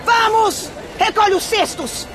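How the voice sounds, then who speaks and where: A woman playing a harsh, abusive mother in a radio drama, scolding and shouting. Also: very high-pitched, slow pace, crowd noise, noisy background. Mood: furious scolding.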